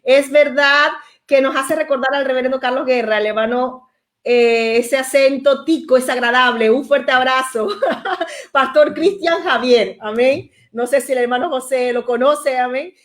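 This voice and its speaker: A woman's voice: high-pitched, unhurried. A woman from Venezuela hosting a church talk program, peppering her speech with amens in a preacherly way. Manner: warm, frequent amens, preacherly. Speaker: program host.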